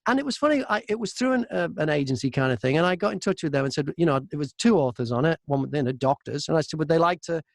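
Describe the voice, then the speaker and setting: Male interview guest, high-pitched, chatty storyteller, very dry close sound. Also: lively intonation, fast talker, creaky, few pauses, dry recording. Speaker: audiobook narrator and voice-over artist, interview guest. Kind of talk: casual interview anecdotes. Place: inside a wardrobe used as a home booth.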